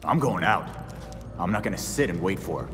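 Very deep, gruff young vigilante. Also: male voice, lively intonation, moderate pace. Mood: angry, impatient. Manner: curt, dismissive. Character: gruff, impatient, defiant young male vigilante. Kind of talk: video game cutscene acting. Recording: long hall reverb, music behind.

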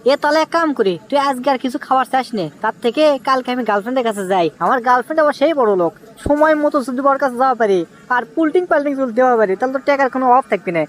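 A man voicing an excitable, scheming young village man in a comic cartoon, with a Bogura accent from northern Bangladesh and an exclamatory, wheedling manner. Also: very high voice, racing, hardly any pauses, poor audio. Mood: boastful. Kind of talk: comic cartoon dialogue in rural dialect.

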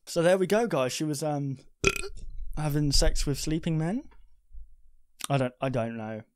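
A man's voice: a little high, unhurried, a little mellow, smooth, dry recording. A man, reading a game aloud and commenting casually into a close microphone. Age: 20s. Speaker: gaming video host. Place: small bedroom studio. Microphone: close boom-arm studio microphone, headset on. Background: music.

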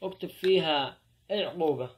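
A man's voice: fairly high pitch, fairly quick. A young man, hosting a challenge video and chatting directly to viewers. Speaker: channel host. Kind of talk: vlog challenge intro.

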